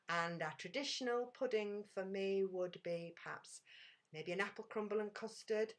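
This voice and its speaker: Woman guest, low voice, homely and relaxed. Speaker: guest author. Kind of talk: casual interview over video call.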